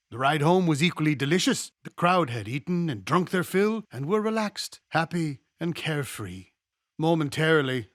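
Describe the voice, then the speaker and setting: A man narrates a memoir with a measured, expressive storyteller's delivery. His voice sits a little high for a man. The recording is fairly dry, with little room sound. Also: no room sound. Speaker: audiobook-style reader of a memoir. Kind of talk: scripted narration, nostalgic memoir reading.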